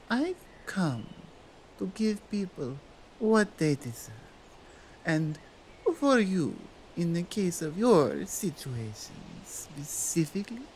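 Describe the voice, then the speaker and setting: A woman playing a strong, dominant female Krampus in an ASMR roleplay, speaking in a deliberate, halting way with a Nordic accent. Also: on the low side, darkish tone, slight background noise, exaggerated voice. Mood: earnest, deliberate. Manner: slow, deliberate, halting foreign-sounding phrasing. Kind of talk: ASMR roleplay monologue addressed to the listener.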